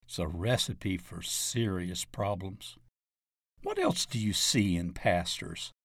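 Slow-paced man reading an article aloud. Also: low voice, very animated, fairly bright tone, no echo, clean studio sound. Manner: deliberate, announces each question and answer. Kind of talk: scripted narration of a question-and-answer article.

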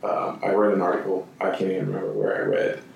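A man from Chile, a guest in an interview, speaking in a thoughtful, halting way with many restarts. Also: sits low, sing-song, vocal fry, heavy reverb, distant mic, slight background noise. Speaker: guest, architect and screen printer. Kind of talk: relaxed conversational interview.

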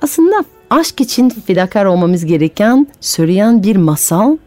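A woman's voice: medium pitch, big pitch swings, normal speed, a little mellow, no echo, soft background music. A French-born woman whose first language is French, hosting a radio interview and asking curious, probing questions. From France. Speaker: radio show host and storyteller. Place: radio studio.

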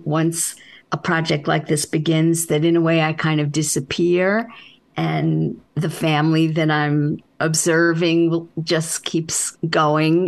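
A woman author with a soft voice, answering interview questions in an unhurried, reflective way with halting restarts. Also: sits low.

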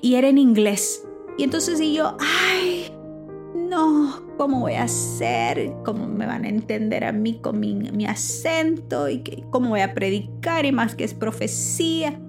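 A woman who preaches, with Spanish as her first language, telling a personal story in a lively, halting way with many restarts. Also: high voice, music in the background. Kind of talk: personal testimony, casual talk.

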